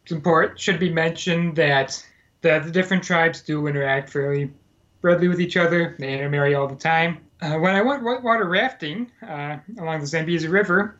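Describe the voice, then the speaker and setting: A man's voice: on the high side, brisk, a bit bright. A man in casual podcast conversation, telling about his time as a Peace Corps volunteer, rambling with frequent fillers and self-corrections. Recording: dull lo-fi sound.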